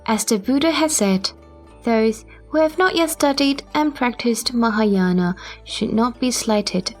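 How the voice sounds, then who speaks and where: A woman's voice: fairly high pitch, animated, unhurried, soft background music. A woman playing a dignified, wise bodhisattva who speaks in a formal, composed way in an audio drama. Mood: composed reproof.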